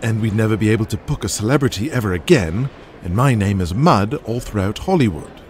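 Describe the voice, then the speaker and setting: An actor playing a shrewd, folksy wrestling business adviser in an audio drama, speaking persuasively with the odd hesitation. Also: male voice, on the low side, expressive, a little fast, a little hiss or hum, lightly acted. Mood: worried.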